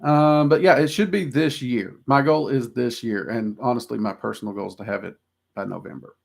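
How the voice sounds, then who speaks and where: A man's voice: mid-range voice, fairly quick, vocal fry. A man with a Southern US accent, hosting a casual livestream and answering viewer questions in a rambling, self-deprecating way with lots of ums. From Southern United States.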